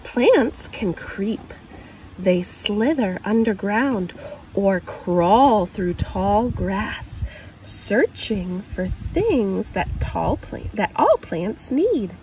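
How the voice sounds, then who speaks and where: A woman in her thirties hosting a children's story time, reading a picture book aloud outdoors with expressive, deliberate emphasis on words. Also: medium pitch, rough recording. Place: outdoors on grass. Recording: clear outdoor ambient noise.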